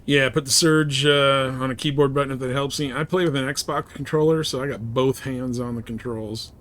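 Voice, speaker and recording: A man's voice: mid-range voice, fairly even intonation, moderate pace, a bit bright. A man livestreaming casual, slangy gameplay commentary in a laid-back way.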